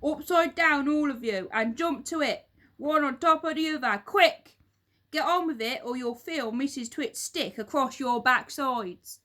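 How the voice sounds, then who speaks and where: A woman voicing a furious, nasty old man from a children's storybook, shouting and barking orders like a bully. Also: high-pitched, measured pace, pitched above the performer's own voice. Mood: barking, bossy.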